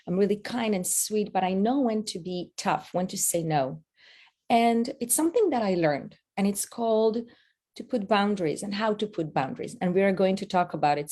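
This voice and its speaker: Woman, Israeli accent, 40s, direct and lively, live online talk, video call audio. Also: mid-range voice. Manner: lively and direct, chatting with the audience. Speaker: guest speaker.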